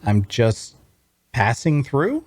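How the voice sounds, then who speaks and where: Man playing a guarded, evasive fantasy traveller, animated. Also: mid-range voice, slow pace, exaggerated voice. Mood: guarded, curt.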